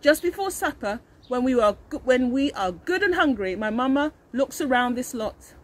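A woman's voice: high voice, normal speed. A middle-aged woman, an early years teacher, reading a picture book aloud expressively and speaking to children. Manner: animated, addressing children with questions. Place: outdoors in a leafy garden. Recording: faint outdoor background noise.

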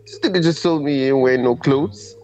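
A man's voice: mid-range voice, brisk, darkish tone, highs cut off, faint music behind. A man from Nigeria chatting casually as a caller on a voice chat app.

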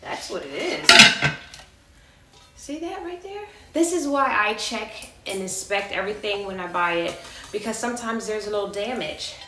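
A woman's voice: medium pitch, lively intonation, moderate pace. An American woman in her thirties giving casual, playful, chatty unboxing commentary in a living room, recorded from across the room. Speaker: vlogger. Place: carpeted living room indoors. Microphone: camera a few meters away. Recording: distant echoey room, loud clattering handling noise.

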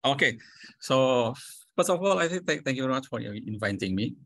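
A middle-aged man presenting at an online academic panel, halting and full of fillers. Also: mid-range voice, animated, creaky, fairly bright tone, no echo. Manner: halting, frequent fillers and restarts. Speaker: panel speaker, professor. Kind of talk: conference presentation. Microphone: video call.

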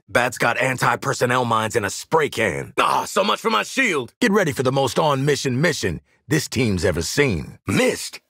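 An actor playing a tough, cocky American hitman in a video game, with a gravelly, husky voice and a clipped, sardonic, commanding delivery. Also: mid-range voice, pushed and bright, no echo, clean studio sound, full character voice. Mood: urgent, shouting. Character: tough, cocky, no-nonsense hitman and squad soldier. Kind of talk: video game combat barks and banter.

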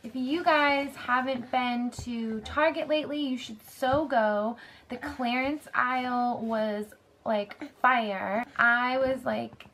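Chatty young woman, a bit hoarse. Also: on the high side, fairly bright tone, a little hiss or hum. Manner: chatty, rambling, lots of "like" and "um". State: slightly hoarse, losing her voice. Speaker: vlogger. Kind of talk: casual haul vlog chat. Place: bedroom, indoors.

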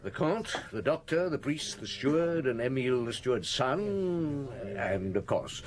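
A man playing a shrewd, unhurried French police chief inspector in a radio drama, musing and wry. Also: medium pitch, big pitch swings. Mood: probing, wry. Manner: musing, deliberate, wry, hesitant pauses. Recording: old radio broadcast.

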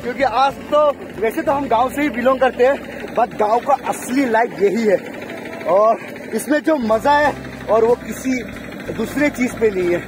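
A young man vlogging outdoors in rice paddies, talking excitedly and directly to viewers, filmed on a phone at arm's length with music underneath. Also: very high-pitched, unhurried, background noise. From Assam, India. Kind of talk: casual vlog talk to camera. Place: outdoors in rice paddy fields. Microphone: phone camera held at arm's length.

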